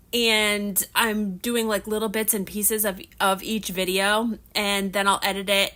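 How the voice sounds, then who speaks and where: Woman, thirties, livestream host, nasal, bright tone, chatty and rambling. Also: medium pitch. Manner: rambling, chatty, restarts often while working on crafts. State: nasal from allergies. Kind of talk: casual livestream chat.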